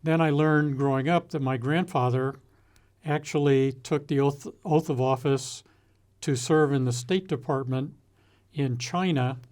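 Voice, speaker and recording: An older American man giving a reflective interview, speaking in a measured, halting way with deliberate pauses. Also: medium pitch, a little mellow. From United States. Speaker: interview guest, retired executive and former naval officer.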